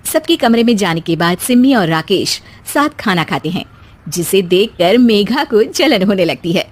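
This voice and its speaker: A woman narrating a scripted family story as a storyteller, with expressive delivery. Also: medium pitch, normal speed.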